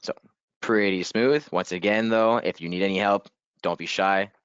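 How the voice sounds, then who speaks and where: A man leading a software training webinar in a casual, upbeat way, a little hoarse and clearing his throat. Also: deep voice, fairly even intonation, normal speed, dry recording, muffled recording. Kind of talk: webinar screen-share walkthrough.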